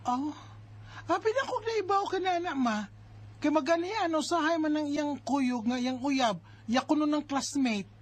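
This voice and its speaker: A woman acting a bossy, concerned married elder sister in a Bisaya radio drama, with an expressive, nagging and exasperated delivery. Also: high-pitched, moderate pace. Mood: gossiping, concerned. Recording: music bed under the voice. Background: background music.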